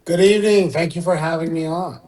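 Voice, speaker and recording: A man's voice: on the high side, steady tone, brisk, darkish tone, rough recording. A middle-aged man born in Iran and raised in Southern California, English his second language, answering conversationally as a guest surgeon. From born in Iran, grew up in Southern California. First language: a language other than English.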